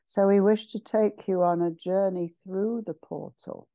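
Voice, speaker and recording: A woman leading a guided meditation as a channeled spiritual address, solemn and ceremonial, speaking in short measured phrases with pauses. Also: mid-range voice, fairly even intonation, mellow, dry recording, muffled recording.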